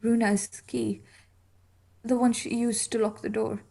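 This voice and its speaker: A woman playing a bitter, dying murderess in a radio play, speaking gravely and deliberately. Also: a little high, flat delivery, mellow. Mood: bitter, confessing. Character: bitter, dying murderess making her confession.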